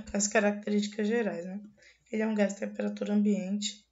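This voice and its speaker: Hoarse young woman presenting a class. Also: medium pitch, flat delivery, a little fast, darkish tone, highs cut off. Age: young adult. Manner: reading slides with frequent restarts. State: hoarse from a cold. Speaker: university student presenting.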